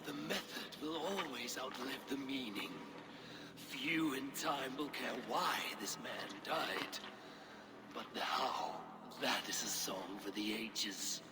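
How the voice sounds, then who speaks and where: Man as slow, solemn Norse philosopher. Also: medium pitch, close to an everyday voice. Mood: solemn, reflective. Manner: measured, brooding, poetic. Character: solemn, philosophical Norse man who reveres glory and old heroes. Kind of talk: video game cutscene acting.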